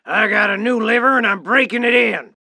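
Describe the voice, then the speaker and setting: An actor playing a brash, greedy rich Texan cowboy tycoon in a cartoon game, with a loud, whooping, folksy drawl. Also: male voice, high-pitched, fairly quick, vocal fry, no echo, heavily acted. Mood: boisterous. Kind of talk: exaggerated cartoon game voice acting.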